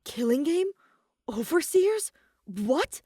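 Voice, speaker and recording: A woman's voice: high voice, big pitch swings, slow pace, dark tone, no echo, voice pushed up, heavily acted. Anxious young man, read by a woman: bewildered, hesitant, urgent, in a close dry recording. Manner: hesitant, stammering, urgent questioning.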